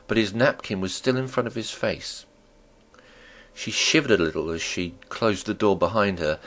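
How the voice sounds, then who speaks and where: A man's voice: on the low side, a bit bright. A man reading a classic story aloud as a dramatic audiobook narrator.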